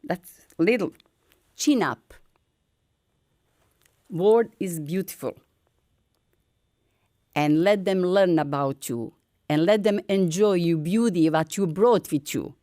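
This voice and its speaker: An older woman from Bosnia with a Bosnian accent, telling her story in halting, broken English in an earnest, encouraging way. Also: fairly low pitch, expressive, warm tone, no echo, clean studio sound. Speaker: featured artist. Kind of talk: personal storytelling.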